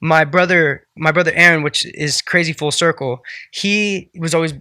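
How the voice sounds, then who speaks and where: A man's voice: on the high side, normal speed, pushed and bright, no echo, studio quality. A man in his twenties from the Bay Area, a musician guest telling his story in a laid-back, rambling way in a house. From Bay Area, California. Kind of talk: casual interview chat. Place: indoors at a house.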